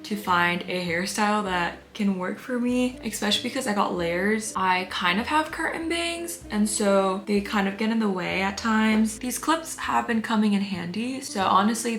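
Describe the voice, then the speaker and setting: A woman, talking softly and reflectively through a get-ready-with-me vlog voice-over. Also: mid-range voice, roomy sound. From United States. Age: 20s. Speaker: beauty vlogger.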